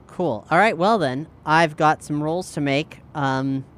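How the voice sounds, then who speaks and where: A man chatting casually as a tabletop game player, thinking aloud in a halting way. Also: on the high side, a bit bright.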